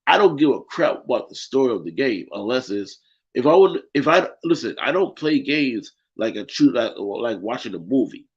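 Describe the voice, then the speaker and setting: A man's voice: mid-range voice, sing-song, normal speed, husky, dull audio. A man hosting a casual gaming podcast, losing his voice and making his point emphatically, often asking "right?". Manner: emphatic, repeats himself, keeps asking "right?". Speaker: podcast host.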